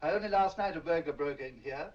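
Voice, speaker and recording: Actor as high-voiced, jovial English gentleman, muffled soundtrack. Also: quick pace, lightly acted. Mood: jovial, charming. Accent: British English. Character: jovial, flirtatious middle-aged English gentleman collector, carefree. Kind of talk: 1940s film acting. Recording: muffled old film soundtrack.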